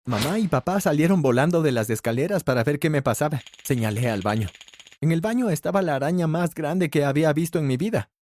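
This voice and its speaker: Lively, higher-voiced male storyteller. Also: quick pace, slight background noise. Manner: animated storytelling, shifts pitch for characters' lines. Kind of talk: first-person scripted story narration.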